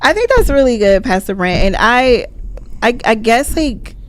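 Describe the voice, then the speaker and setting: A woman in her early forties, a co-host who encourages the others and asks questions in a relaxed talk. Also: on the high side, moderate pace, a little hiss or hum. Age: 40s. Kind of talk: casual chat.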